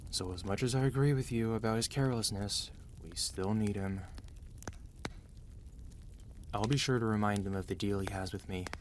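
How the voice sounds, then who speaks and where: A man acting out a weary, protective mafia boss husband in an ASMR roleplay, commanding and dry yet gentle and intimate. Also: lowish voice, fairly quick, slight background noise, close to an everyday voice. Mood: stern, businesslike. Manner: tired, authoritative, dry, intimate.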